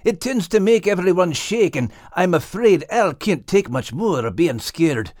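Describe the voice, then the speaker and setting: A man voicing an earnest, warm-hearted little adventurer, an animal with a Scottish accent, in an audiobook. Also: high-pitched, animated, a little slow, dry recording, clean studio sound, pitched above the performer's own voice. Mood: cheerful, cautious. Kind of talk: audiobook character acting.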